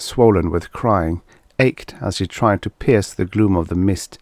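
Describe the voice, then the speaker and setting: A man narrating a vintage science fiction story as an audiobook reader, in a dramatic, expressive storytelling manner. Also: low-pitched, no echo.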